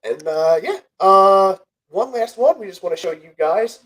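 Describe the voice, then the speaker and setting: A young man with a slightly high voice, a bit stuffed up and nasal from a cold. He co-hosts a relaxed sports podcast and rambles through the talk and the ad reads. He stops to correct himself and cracks jokes as he goes. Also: unhurried. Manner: rambling, self-correcting, joking. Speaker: podcast co-host.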